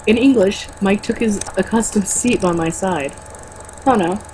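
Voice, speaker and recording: A woman with a medium-pitched voice reads a book aloud in a casual way, slipping into mocking asides. She sounds sarcastic and exasperated and swears freely. There is noticeable noise behind her. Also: normal speed, darkish tone. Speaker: co-host reading a novel aloud with mocking commentary. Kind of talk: read-aloud mixed with casual banter.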